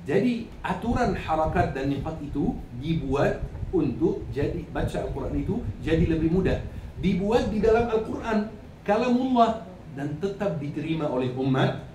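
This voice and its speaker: A man's voice: on the high side, a little mellow. A man with an Arabic accent, a native Arabic speaker, giving an Islamic lecture in a didactic way, repeating phrases and answering his own questions. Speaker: Islamic preacher. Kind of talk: religious lecture, teaching with questions to listeners. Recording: echoey, noisy room, distant microphone.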